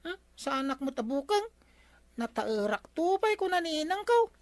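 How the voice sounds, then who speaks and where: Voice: sits high, big pitch swings, moderate pace, no echo. A woman playing a cheeky, inquisitive grandchild in an Ilocano comedy radio drama. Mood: curious. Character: nosy, cheeky young grandchild of the village captain. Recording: faint background noise.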